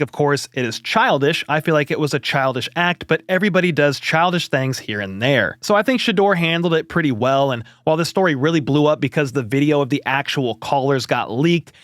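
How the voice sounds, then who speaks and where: A man's voice: fairly high pitch, a bit bright, no room sound, studio quality. A man hosting a football news show, giving conversational, opinionated commentary in a casual style.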